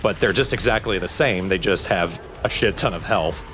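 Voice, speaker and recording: Deep-voiced man chatting over a game. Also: normal speed, a bit bright, faint music behind. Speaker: let's play co-commentator. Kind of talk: casual gameplay chat.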